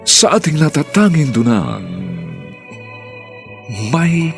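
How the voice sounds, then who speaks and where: A man's voice: on the high side, big pitch swings, over music. A Filipino man giving grand, theatrical scripted narration as a radio drama announcer. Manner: grand, dramatic, drawn-out title delivery.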